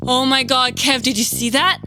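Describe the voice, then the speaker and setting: A man voicing a bold young woman resistance fighter, urgent and excited. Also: very high-pitched, normal speed, over music, voice pushed up.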